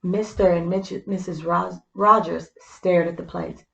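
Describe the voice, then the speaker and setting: A woman's voice: lowish voice, fairly even intonation, measured pace, creaky, a bit bright, echoey room, highs cut off. A woman reading a children's story aloud, with explanatory asides to young listeners. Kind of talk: scripted storybook narration with simple asides.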